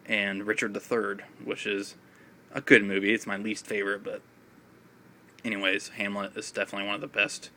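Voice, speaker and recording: A man talks casually about films with a raspy voice and an occasional cough, rambling with many fillers. His voice sits low and fairly flat in pitch, with a bright, forward edge. A faint noise runs behind him on a phone recording. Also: fairly quick. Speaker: film vlogger. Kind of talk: casual video chat.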